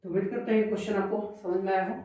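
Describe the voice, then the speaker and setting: A man's voice: sits high, flat delivery, rapid-fire, mellow. A man teaching a maths class, working through a problem step by step and asking questions that he answers himself. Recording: echoey room, voice far from the mic.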